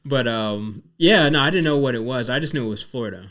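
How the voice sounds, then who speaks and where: A man's voice: medium pitch, lively intonation, moderate pace, fairly bright tone. A man in his forties from Virginia, co-hosting a podcast in laid-back casual chat with many fillers. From Virginia, Tidewater area. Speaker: podcast co-host.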